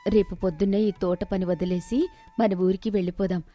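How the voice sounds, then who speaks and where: A woman's voice: mid-range voice, steady tone, a little fast, warm tone, soft background music, lightly acted. A woman voicing a poor gardener's supportive wife in a moral story, respectful and earnest. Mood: amazed, hopeful. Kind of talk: dramatised moral storybook dialogue.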